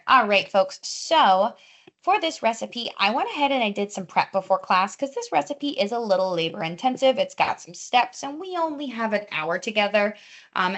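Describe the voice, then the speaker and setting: A woman's voice: mid-range voice, a bit bright. A young adult woman, a dietitian, leading a live online cooking class in a chatty, friendly way with many fillers, recorded over a video call. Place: home or teaching kitchen.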